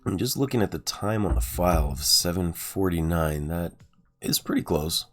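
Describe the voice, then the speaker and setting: A man with a sore, raspy throat, chatting casually and rambling through let's-play commentary, with frequent ums and uhs. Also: low-pitched, lively intonation, normal speed, soft background music. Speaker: gaming streamer.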